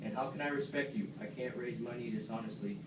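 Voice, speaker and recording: A man plays a stern, righteous Roman leader in a homemade puppet play. His male voice is middling in pitch and declamatory. It sounds far from the mic, with clear background noise. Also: fast, lightly acted. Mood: scornful. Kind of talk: amateur puppet-show Shakespeare parody. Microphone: distant camera microphone. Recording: echoey noisy room, far from mic.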